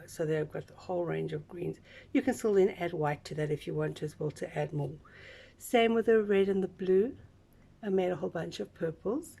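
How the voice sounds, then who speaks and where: A woman with a husky, breathy voice, a little croaky, explains a craft project one step at a time. Her voice sits low and her talk is relaxed, chatty and full of small asides. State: slightly croaky voice. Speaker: craft instructor. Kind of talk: casual step-by-step craft tutorial.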